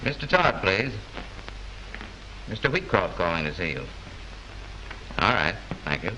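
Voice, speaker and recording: An actor playing a chatty, slangy, working-class studio gatekeeper who wisecracks in ungrammatical talk, in 1930s film style, with hiss from an old soundtrack. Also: male voice, sits low, big pitch swings, unhurried, exaggerated voice. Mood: joking.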